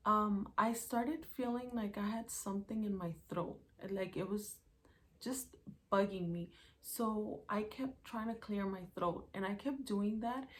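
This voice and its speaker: A young woman talking casually to her channel, recounting events day by day from her notes with plenty of fillers. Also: mid-range voice. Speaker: YouTuber. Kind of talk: casual vlog storytelling.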